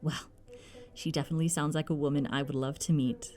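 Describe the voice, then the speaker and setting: A woman's voice: low-pitched, little pitch movement, fast talker, a little mellow, close to an everyday voice. A woman playing a bubbly, devout middle-aged mother in an audio roleplay, gushing, playful and affectionate. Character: bubbly, devout, teasing mother, soon a grandmother.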